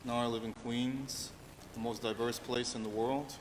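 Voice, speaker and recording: A middle-aged man from New York City answering interview questions in an earnest, halting way, full of restarts and "you know"s. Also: mid-range voice, steady tone. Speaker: musician interviewed as guest. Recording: long reverb, faint background hiss.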